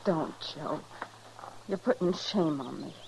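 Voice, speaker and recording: An actress playing a weary, downtrodden homesteader wife with a rural American accent, plaintive and meek, in an old-time radio western. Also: female voice, deep voice, slow pace, gravelly, darkish tone, full character voice. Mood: hurt, meek. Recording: noisy vintage radio recording.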